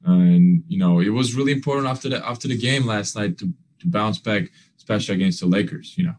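A young man answers reporters over a video call, his voice sitting fairly low. He talks earnestly but haltingly, stacking fillers and restarting phrases, and his grammar slips here and there. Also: a little mellow. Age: young adult. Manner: earnest, non-standard grammar. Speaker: basketball player. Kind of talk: postgame press conference answers.

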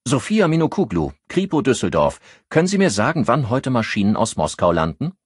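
A man voicing a tense, ambitious female police inspector in an audiobook, with brisk, clipped questions. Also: medium pitch, sing-song, husky, dry recording, clean studio sound, voice pushed up. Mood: brisk, commanding. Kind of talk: audiobook character dialogue.

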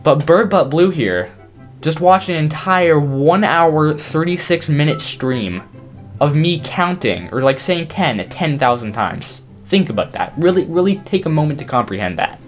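A teenage boy talking casually to his stream in a small bedroom, excitable and jokey, his voice strained from overuse. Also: fairly high pitch, faint music behind. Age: teens. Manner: excitable, jokey, talks fast in bursts. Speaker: YouTuber. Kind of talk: casual stream chat to camera.

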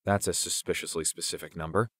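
A man's voice: low voice, fairly even intonation, quick pace, fairly bright tone, dry recording. A man voicing a skeptical, mocking teenage young man, the level-headed friend in an audiobook, with a dry manner. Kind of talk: audiobook character acting.

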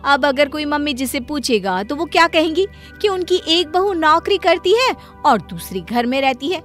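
A woman's voice: sits high, big pitch swings, normal speed, fairly bright tone, soft background music, voice pushed up. A woman voicing a clever, boastful young working daughter-in-law in a cartoon family story, bossy in manner. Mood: smug. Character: clever, self-important young elder daughter-in-law, newly employed. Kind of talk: animated Hindi family story dialogue.

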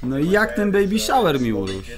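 A man's voice: high-pitched, big pitch swings, fairly quick. An actor playing a dutiful, peacemaking young newlywed man in a radio drama. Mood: placating. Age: young adult.

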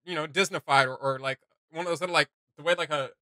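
A man's voice: fairly high pitch, fast talker, bright, forceful tone, dry recording, studio quality. A man giving casual, chatty reaction commentary as a host, often feeling his way through a phrase. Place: small home gaming room, indoors.

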